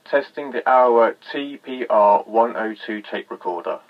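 A man giving a measured, plainly spoken demonstration and test recording, with a dull tape-recorder sound. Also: medium pitch. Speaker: presenter demonstrating vintage audio equipment.

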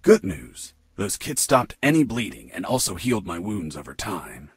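Low, husky deadpan soldier. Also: male voice, moderate pace, no room sound, exaggerated voice. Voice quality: husky, gravelly. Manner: deadpan, dry. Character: gruff male soldier recounting his prison escape, deadpan wisecracker. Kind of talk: video game voice acting, narration.

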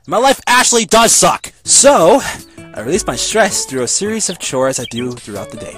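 A young man voicing a bitter, foul-mouthed high school boy who rants sarcastically in an anime parody dub. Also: very animated, moderate pace, a bit bright. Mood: sullen narrating. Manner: ranting, sarcastic. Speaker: narrator and lead character. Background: background music.

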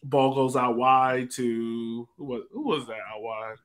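A man's voice: medium pitch, steady tone, unhurried. A man hosting a casual sports chat over a video call, conversational and now and then searching for words. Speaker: podcast host. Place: indoor room, remote video call. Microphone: video call microphone.

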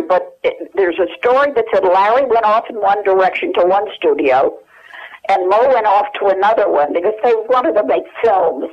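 A woman in her eighties talks over a muffled telephone line, pausing often with fillers as she searches her memory. Her voice sits at a middling pitch for a woman, with a friendly, chatty delivery. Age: 80s. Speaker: guest. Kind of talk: phone interview.

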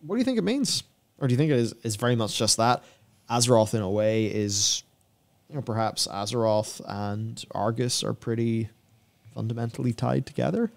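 A man's voice: medium pitch, normal speed, vocal fry. A young man with a mid-range voice co-hosts a gaming show. He reads from notes and drops into casual, off-the-cuff commentary, speaking close on a studio microphone. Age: young adult. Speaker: co-host of a gaming news show. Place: small indoor studio with acoustic foam panels. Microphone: broadcast mic on a boom arm, close.

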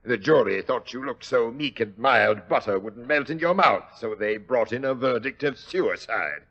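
An actor with a British accent playing a gruff, cocksure, scoffing police inspector in a 1940s radio drama. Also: male voice, medium pitch, animated, normal speed. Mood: accusing. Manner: bellowing, scoffing, blunt. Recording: muffled old radio recording.

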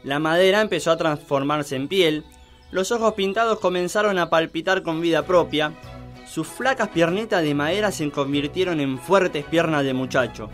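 A man's voice: fairly high pitch, moderate pace, a bit bright, soft background music. A man narrating a scripted mock children's tale as a storyteller.

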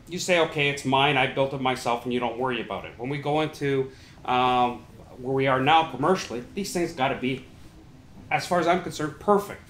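A man's voice: medium pitch, fairly bright tone. A middle-aged man speaks as an interview guest in a conversational, explanatory way, with some emphasis. The room around him echoes, and there is noise behind his voice. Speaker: company founder, interview guest. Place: small indoor listening room, seated. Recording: roomy echo, clear background noise.

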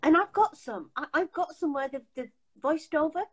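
An older woman hosts a casual video call from home, her voice sitting fairly high. She talks emphatically, often restarting her sentences as she presses a point. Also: normal speed. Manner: chatty, emphatic, many restarts. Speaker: host. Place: home room.

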